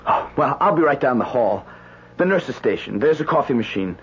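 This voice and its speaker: An actor playing a nervous hospital doctor in a radio drama, hesitant and stammering. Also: male voice, medium pitch, expressive, normal speed, fairly bright tone, breathy edge, full character voice. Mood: rattled, unsure. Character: nervous young male hospital doctor on duty, rattled. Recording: muffled, lightly noisy old radio broadcast.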